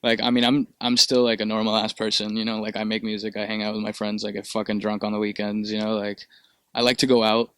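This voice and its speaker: A young man with a low-pitched voice, recorded close and dry. He talks in a relaxed, rambling way, full of fillers and casual swearing. He grew up in Peru. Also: fast, clear, resonant tone, no room sound. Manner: laid-back, rambling with many fillers and profanity. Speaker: musician guest. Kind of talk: casual interview.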